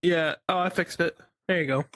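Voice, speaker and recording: A young woman co-hosting a casual podcast, teasing and bossy in a lively way. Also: lowish voice, moderate pace, background noise. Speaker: podcast co-host. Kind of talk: casual chat.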